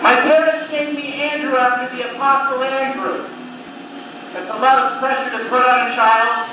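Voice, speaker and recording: A man performing a live stand-up comedy set of one-liners with deliberate pauses, recorded on cassette tape. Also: very high-pitched, little pitch movement. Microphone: recorded from a distance on cassette recorder. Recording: noisy cassette tape, echoey room, distant mic.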